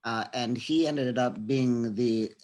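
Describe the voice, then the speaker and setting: A man's voice: mid-range voice, slow, a little mellow. Stammering man recalling things casually. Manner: stammering repeats, chuckles while talking. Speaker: former amateur boxer. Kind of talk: casual conversation.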